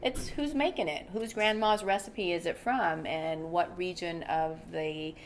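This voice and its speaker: A young woman from Thailand, a native Thai speaker, talks as a chef and restaurant founder in an interview. Her voice sits slightly low for a woman, and she explains things in a cheerful, fluent way. A faint background hum runs beneath her. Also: a little slow. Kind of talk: interview answers.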